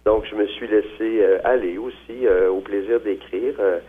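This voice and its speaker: Husky deep-voiced man on a phone line. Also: fairly even intonation, moderate pace. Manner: hesitant, frequent fillers and restarts. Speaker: novelist guest. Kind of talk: phone interview.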